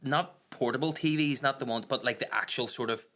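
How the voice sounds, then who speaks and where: A man tells childhood stories in a loose podcast chat. He talks quickly in a bright, forward voice and keeps breaking into laughs. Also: mid-range voice. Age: 30s. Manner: storytelling with bursts of laughter. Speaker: guest. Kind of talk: casual chat.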